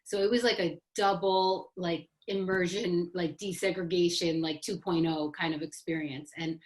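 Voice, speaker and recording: A woman's voice: on the low side, fairly even intonation, normal speed. A woman from the Boston area speaking as an author on a panel, conversational, stressing points by repeating phrases. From Boston area, United States.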